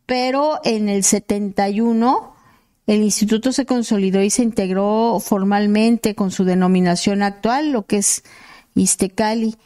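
A woman's voice: medium pitch, fairly even intonation, a little slow, dark tone. A woman in her fifties with a Mexican accent, hosting a radio news show and giving blunt, casual opinions. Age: 50s. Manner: outspoken, opinionated, slangy.

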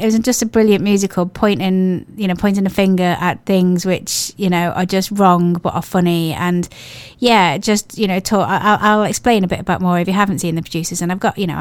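A woman with an English accent presenting a radio show, her voice croaky and hoarse from a cold, chatting in a gushing, rambling way. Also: lowish voice, no echo. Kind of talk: casual radio chat.

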